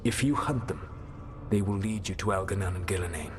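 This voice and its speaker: An actor playing an ancient elven god in a video game, speaking in a grave, formal and measured way with a learned air. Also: male voice, deep voice, noisy background, natural voice. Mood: grave counsel. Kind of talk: dramatic video game dialogue.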